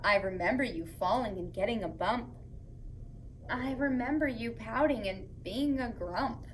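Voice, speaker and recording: A woman hosting story time for young children, reading aloud and chatting to them in a playful, expressive way. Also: fairly high pitch, unhurried, a little hiss or hum, low-quality recording.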